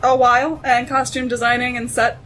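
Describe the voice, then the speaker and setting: A woman's voice: on the high side, level tone, a little fast, fairly bright tone, soft background music, poor audio. A woman chatting casually as one of the panelists in a group discussion. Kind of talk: casual chat.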